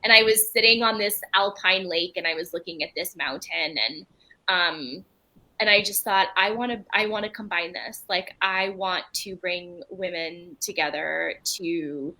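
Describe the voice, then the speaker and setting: A woman's voice: on the low side, sharp tone, low-quality recording. A woman from Belgium whose first language is French, a writer and retreat leader answering interview questions in an earnest, candid and reflective way.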